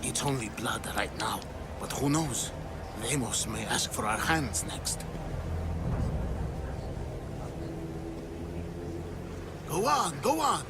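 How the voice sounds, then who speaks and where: An actor playing a scheming, ambitious cult conspirator in a video game, speaking in a theatrical, conspiratorial manner. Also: male voice, mid-range voice, sing-song, measured pace, very noisy. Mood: ominous urging. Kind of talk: video game cutscene acting. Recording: very loud music underneath. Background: game music.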